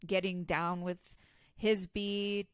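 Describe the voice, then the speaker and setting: A woman co-hosting a casual podcast, chatty and animated as she retells what happened, a little stuffy. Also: medium pitch, slow, clear, resonant tone, no room sound, studio quality. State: slightly stuffy. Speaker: podcast co-host and singer. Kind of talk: casual chat.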